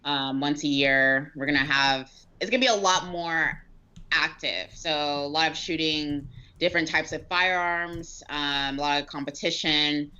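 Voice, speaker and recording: A woman's voice: deep voice, unhurried, bright, forceful tone, smooth, highs cut off. A woman chatting casually as a guest on a podcast. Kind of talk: casual chat.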